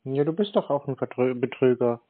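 A man's voice: medium pitch, brisk. A man on a phone call with a scam caller, speaking in a dry, curt, skeptical way. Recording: tinny phone line.

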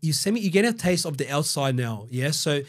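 A man, telling his story as a podcast guest in a casual, slangy way, with many restarts, recorded on a boom mic in a studio. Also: fairly quick. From Brazil. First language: Portuguese. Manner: animated, slangy, restarts and repeats words. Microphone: studio mic on boom arm.

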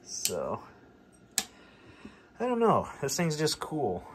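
A man's voice: fairly high pitch, huge pitch swings, slow pace. A man giving a casual, plainspoken hands-on demonstration in an indoor workshop. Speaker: host of a radio repair video. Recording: clear background noise, workshop ambience.

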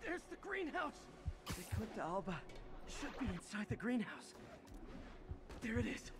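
A wry young superhero. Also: male voice, very high-pitched, big pitch swings, noisy background, exaggerated voice. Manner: quick, wry, talking to himself. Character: young male wisecracking superhero, determined. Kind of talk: video game voice acting. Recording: game audio with music underneath.

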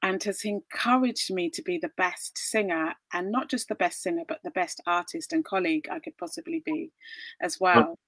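A bubbly woman hosts an interview over a video call from her home. Her voice is bright and crisp, with a dry, close sound and no room around it. She laughs often and breaks into excited exclamations. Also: on the low side, normal speed, no echo, poor audio. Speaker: host. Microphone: laptop webcam on video call.

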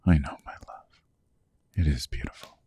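A man playing a suave, possessive vampire lord in a hypnotic ASMR roleplay, hushed and often whispering, with courtly old-fashioned diction. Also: moderate pace, no room sound, close to an everyday voice. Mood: genteel, attentive. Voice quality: very deep, gravelly, husky.

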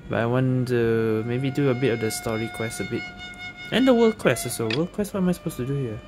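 A woman's voice: very deep voice, expressive, brisk. A woman giving casual gameplay commentary, thinking aloud in short reactive phrases. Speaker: video game streamer. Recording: game music behind the voice.

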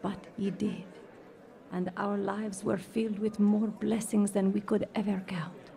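An actress in a radio drama playing a gentle, faithful older mother, a widowed missionary who speaks tenderly and reflectively. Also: mid-range voice, level tone, measured pace, creaky. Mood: fond, reflective.

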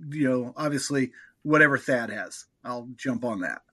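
A man's voice: mid-range voice, normal speed, bright, forceful tone. A middle-aged man, a fan guesting on a podcast, giving plain-spoken, brief answers in casual chat.

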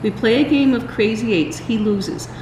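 An older woman reading a children's storybook aloud, expressive and deliberate, with small stumbles. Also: on the high side. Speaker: library story time reader. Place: indoors in a large library room. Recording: roomy echo, faint background room noise.

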